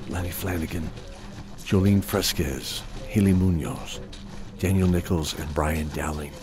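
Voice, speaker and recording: A man playing a watchful forest guide, giving a dramatic, conspiratorial scripted introduction to a fantasy audio drama. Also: low-pitched. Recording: voice over prominent music.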